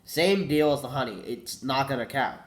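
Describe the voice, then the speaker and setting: A man's voice: mid-range voice, expressive, normal speed. Congested young man rambling casually. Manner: rambling, self-correcting, says "like" a lot. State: congested with a cold, coughing. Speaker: vlogger.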